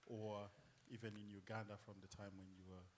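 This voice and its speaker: A man's voice: on the low side, little pitch movement, brisk, darkish tone, a little hiss or hum. A man from South Sudan, an audience member at a public lecture, politely and a little hesitantly asking a question.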